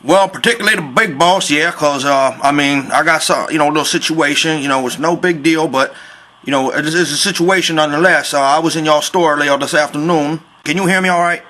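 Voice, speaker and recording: A man plays a Southern construction worker on a prank call, a little high in pitch and quick. He rambles in folksy slang, mock-polite but stubbornly insistent. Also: sharp tone. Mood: earnest, folksy. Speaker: prank caller. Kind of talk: improvised prank phone call.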